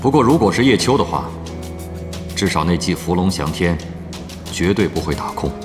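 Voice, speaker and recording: Stern veteran gamer, audio drama, deep voice, menacing, scornful, echoing, music behind. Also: male voice, expressive. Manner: slow, weighty, menacing.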